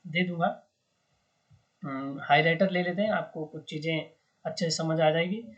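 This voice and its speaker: A man presenting an explainer lesson, repeating key terms as he goes. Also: high voice. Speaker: educational video presenter. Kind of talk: explainer lesson, partly reading aloud. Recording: dull lo-fi microphone sound.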